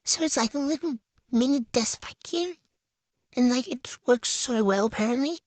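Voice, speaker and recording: A woman's voice: high voice, normal speed, darkish tone, no room sound. A woman giving a casual, chatty show-and-tell voice-over in a hesitant, unscripted way that tends to trail off. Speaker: vlogger.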